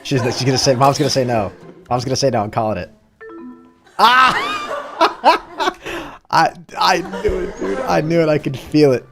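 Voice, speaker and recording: A man's voice: medium pitch, normal speed, vocal fry, pauses often. A man live-streaming, reacting to a video in a casual, chatty way with slangy asides to his chat. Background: show audio and music playing.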